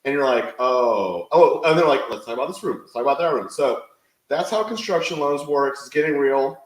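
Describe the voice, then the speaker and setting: Forty-something guy, rambly animated storyteller, mid pitch, kinda echoey room. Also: fairly quick. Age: 40s. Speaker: fantasy sports analyst co-host. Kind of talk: casual chat.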